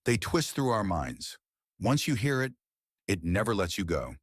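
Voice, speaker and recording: A narrator, male, plays a haunted old man in an audio horror story. He is grim and ominous, low-pitched with lively rises. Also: a little slow, slightly rough, no echo, clean studio sound, full character voice.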